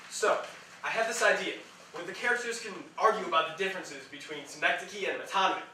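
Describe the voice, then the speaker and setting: A man's voice: high-pitched, quick pace, bright, forceful tone. A man on stage playing an impatient, bossy writer in a comic play, with emphatic projected delivery. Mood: eager, impatient. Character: impatient, exasperated young male writer, bossy and argumentative. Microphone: distant recording of the stage. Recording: echoey theatre, distant mic, background noise.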